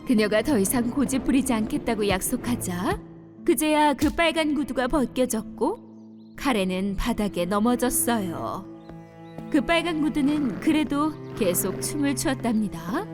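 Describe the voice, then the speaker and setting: A woman's voice: a little high, animated, measured pace. A woman narrating a dubbed children's fairy-tale cartoon in a storytelling manner, with music behind her.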